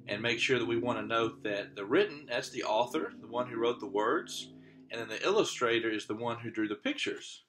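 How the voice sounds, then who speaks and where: A man's voice: medium pitch, a bit bright, slight background noise. A man, an elementary teacher, reads a children's picture book aloud and breaks off for casual asides about the pictures. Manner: pauses to comment on the pictures.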